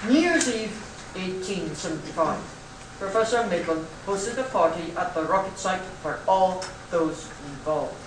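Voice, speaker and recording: A man's voice: sits high, lively intonation, a little slow. A man playing a stuffy, polite English narrator, formally telling a comic radio play. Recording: echoey room, distant mic, faint noise.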